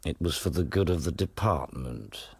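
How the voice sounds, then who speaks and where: An actor in a radio play playing a scheming, smug radical drama lecturer with a husky, gravelly voice and a sly, measured manner. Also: male voice, extremely low pitch, lively intonation, no echo, full character voice.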